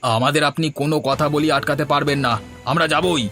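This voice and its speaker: A man voicing a rude, greedy older brother in a fairy tale cartoon, with an insolent, excitable manner. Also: on the high side, a little fast, faint music behind.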